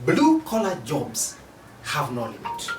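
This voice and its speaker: A man with a Kenyan accent speaking formally in an interview, in a deliberate, emphatic way that repeats phrases for weight. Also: a little high, huge pitch swings. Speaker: interviewed commentator on youth employment. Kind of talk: formal spoken interview advice. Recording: echoey, distant mic, faint noise.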